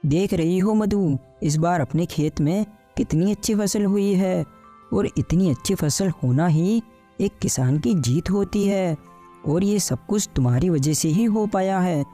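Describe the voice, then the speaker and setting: Poor village farmer played by a man: plain-spoken, worried husband, very mellow dark timbre, bedtime-story cartoon. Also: high voice, unhurried, faint music behind. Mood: grateful, hopeful. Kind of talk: Hindi animated bedtime story dialogue.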